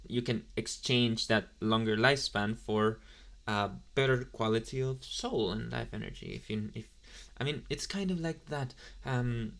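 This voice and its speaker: A man's voice: medium pitch, fairly bright tone. A man playing an inexperienced young male demon intern in an ASMR roleplay, chatting in a casual, hesitant, apologetic way straight to the listener. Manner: rambling, hesitant, casually chatty with slangy asides.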